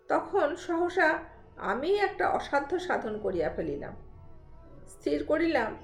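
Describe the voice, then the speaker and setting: A woman narrating a literary story in a measured, expressive, dramatic way. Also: high voice, echoey room. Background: soft background music.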